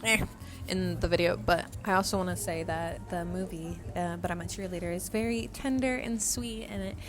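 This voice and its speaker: A woman's voice: lowish voice, fairly even intonation, moderate pace. A woman of about twenty whose first language is Swedish, adding casual, reassuring asides to her viewers. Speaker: vlogger. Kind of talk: added voice-over aside to viewers. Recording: noisy background hiss.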